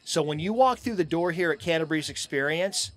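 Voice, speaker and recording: A middle-aged American man being interviewed casually outdoors on a handheld mic, speaking in halting, stop-and-go phrases. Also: on the high side, expressive, fairly bright tone, faint music behind. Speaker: hemp farm owner being interviewed. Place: outdoors on a festival lawn. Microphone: handheld microphone.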